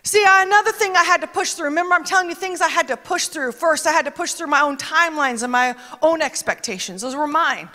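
Very high-voiced woman preaching emphatically. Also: lively intonation, fast talker, hardly any pauses, bright, forceful tone. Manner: emphatic, chatty preaching with quoted asides. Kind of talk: conversational sermon. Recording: long reverb of a large hall.

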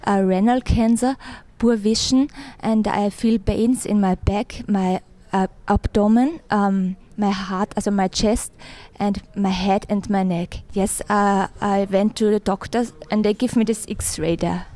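Austrian woman in her thirties, German native, hesitant testimony with frequent pauses, mid-pitched voice. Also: fairly even intonation, dark tone. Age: 30s. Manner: hesitant, many pauses and fillers. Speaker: church visitor giving testimony. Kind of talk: interview testimony.

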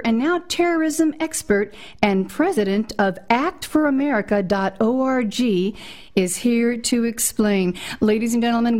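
A woman's voice: on the high side, a little mellow. A woman hosting an interview show, giving a scripted introduction in a dramatic, emphatic way. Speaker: host.

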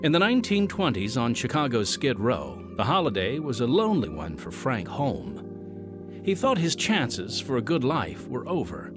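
A man's voice: mid-range voice, wildly swooping pitch, normal speed, over music. A man narrating a scripted radio drama as its announcer, solemn and theatrical, stretching out key phrases.

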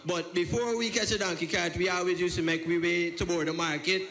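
Man telling a relaxed poem. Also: sits high, a little fast. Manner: relaxed, conversational storytelling. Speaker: performance poet. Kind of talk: storytelling spoken word.

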